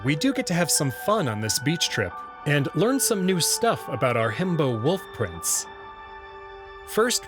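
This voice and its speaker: A man giving scripted narration and commentary as a host, with wry, playful asides. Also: mid-range voice. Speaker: host reading a visual novel and commenting on it.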